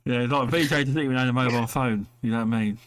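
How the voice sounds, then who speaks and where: A man's voice: mid-range voice, fast talker, crowd noise. A British man talking casually about games with friends, often repeating his phrases. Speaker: gamer on a podcast.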